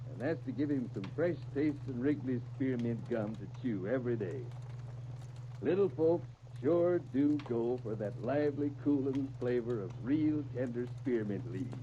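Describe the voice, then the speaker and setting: A man's voice: mid-range voice, dark tone, lightly acted. An actor playing a folksy, kindly older small-town American man who offers homespun wisdom in a 1930s radio style. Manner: folksy, unhurried homely sayings. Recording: muffled, noisy 1939 radio disc recording.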